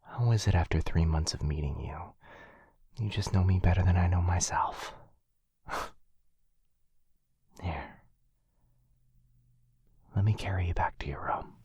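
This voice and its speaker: A man playing a tender, protective vampire lover in an intimate boyfriend ASMR roleplay, hushed, breathy and soothing, close to the mic. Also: deep voice, measured pace, pauses often, no echo, lo-fi sound, exaggerated voice. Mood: gentle, affectionate. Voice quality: breathy, husky whisper. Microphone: close, dry microphone.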